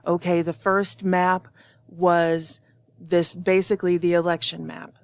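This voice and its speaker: A hoarse woman speaking earnestly and without preparation, delivering a prophetic message straight to her viewers. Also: lowish voice, little pitch movement, unhurried. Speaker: online prophecy channel host. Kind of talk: prophetic video monologue.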